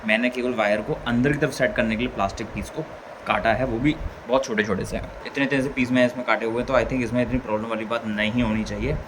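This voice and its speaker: A man's voice: medium pitch, steady tone, fast. A man giving a casual hands-on tutorial as a vlogger, informally thinking aloud step by step. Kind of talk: casual hands-on tutorial chat in Hindi mixed with English words. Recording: clearly noisy background ambience.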